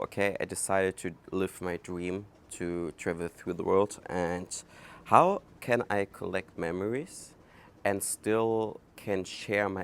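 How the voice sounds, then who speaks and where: A man from Germany with a German accent, hosting his own podcast in a casual, halting monologue recorded outdoors, thinking aloud with many fillers. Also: low voice, smooth. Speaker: podcast host. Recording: faint outdoor background noise. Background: outdoor ambience.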